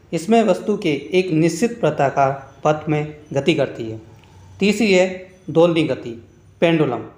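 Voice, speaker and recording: Male exam tutor, slightly high voice, point-by-point instructional read, recorded in a very echoey room. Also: a little mellow, a little hiss or hum. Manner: instructional, reading out points one by one. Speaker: exam-prep teacher and video host. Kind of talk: scripted lesson narration with short explanations.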